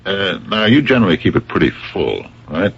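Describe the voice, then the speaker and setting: A man acting in a radio mystery as a shrewd, dogged police captain who questions people in a deliberate, probing way, with a gravelly voice. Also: sits low, very animated, full character voice. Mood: probing, measured. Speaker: radio drama actor. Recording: dull old radio broadcast recording.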